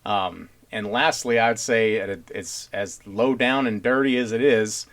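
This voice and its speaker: A man's voice: lowish voice, unhurried, a bit bright. A man hosting a casual podcast, talking in an earnest, rambling, blunt blue-collar way. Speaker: podcast host.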